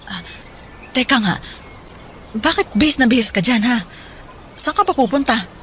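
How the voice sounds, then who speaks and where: A woman's voice: a little high, lively intonation, moderate pace, a bit bright, slight background noise. A woman playing a distraught, abandoned wife and mother in a radio drama, her voice breaking between laughter and tears. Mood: flustered, motherly. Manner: laughs and cries unsteadily, pleading.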